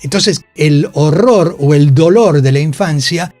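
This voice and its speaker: An Argentine man tells stories in a radio interview with an emphatic delivery, repeating phrases to drive them home and cursing freely. His voice sits a little high, recorded dry and close with faint music underneath. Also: a little slow, darkish tone, no echo. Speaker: interview guest, actor. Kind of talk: animated storytelling in a radio interview. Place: radio studio.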